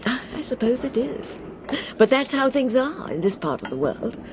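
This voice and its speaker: An actress playing a chatty, kindly, plucky English spinster governess in a radio drama, with a fluttery, genteel, effusive manner. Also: female voice, sits high, very animated, a little slow. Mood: confiding. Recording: clear train and vehicle noise behind. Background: train ambience.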